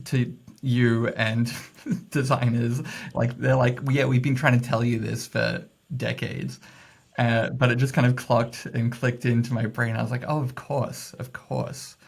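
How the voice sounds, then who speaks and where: A man's voice: mid-range voice, gravelly, slightly rough. A man talking as a podcast guest, loose and casual, full of fillers and laughs as he thinks aloud. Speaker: guest, messaging strategist. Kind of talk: casual interview chat.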